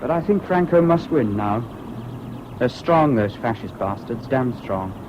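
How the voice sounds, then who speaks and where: A man's voice: mid-range voice, lively intonation, a little slow, close to an everyday voice. A man acting a bitter, intense young volunteer back from war in an old radio play. His speech is clipped and emphatic. The recording is dull with faint noise. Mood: bitter, grim. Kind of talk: 1970s British radio drama acting.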